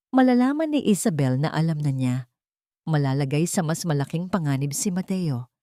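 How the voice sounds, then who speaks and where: Woman, story narrator, lowish pitch, very varied intonation, dramatic scripted delivery, dry sound. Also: dark tone, no room sound.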